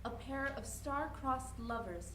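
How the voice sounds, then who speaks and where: A woman's voice: high-pitched, lively intonation, slow. A woman playing a naive, insecure seventeen-year-old girl in a reader's theater stage play. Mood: wistful, reflective. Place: indoor event room at a college presentation. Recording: distant echoey room, noisy live recording.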